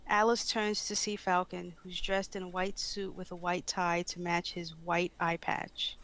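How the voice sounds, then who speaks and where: Woman doing script narration, reading out stage directions, slightly low voice. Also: level tone, unhurried, a bit bright, low-quality recording.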